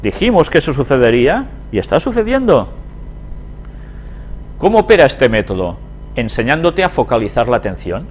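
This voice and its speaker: A man's voice: mid-range voice, big pitch swings, normal speed, pauses often, a little hiss or hum. A man with an accent from Spain giving a religious lecture as a teacher, reading aloud and commenting conversationally, often putting questions to his listeners. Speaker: Bible prophecy teacher.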